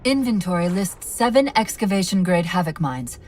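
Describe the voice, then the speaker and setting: A matter-of-fact female game character played by an actress, reporting with dry wit. Her voice is lowish and sounds set back from the mic. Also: expressive, moderate pace, darkish tone, distant mic, close to an everyday voice. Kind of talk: video game cinematic acting.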